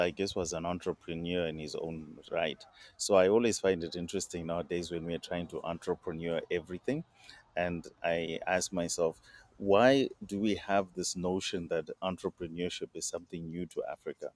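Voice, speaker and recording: A man's voice: low-pitched, darkish tone, dry recording. A man from Zimbabwe, a guest on an interview show, who answers reflectively with many hesitations and repeated words over a video call.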